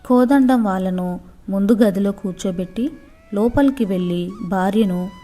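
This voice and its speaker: A woman's voice: mid-range voice, normal speed, dark tone, soft background music. A woman storyteller reading a scripted moral story for children.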